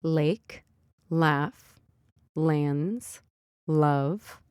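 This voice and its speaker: A woman hosting a children's read-aloud, narrating and teaching early reading in simple, deliberate wording. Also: sits low, darkish tone, clear, resonant tone, no room sound, clean studio sound. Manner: simple, deliberate wording, instructing young readers. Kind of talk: slow phonics storybook read-aloud for young children.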